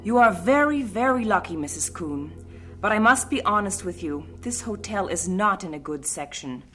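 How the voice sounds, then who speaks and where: A woman playing a brisk, frank housing helper in an audio drama, husky and emphatic. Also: medium pitch, animated, a bit bright, faint music behind, natural voice.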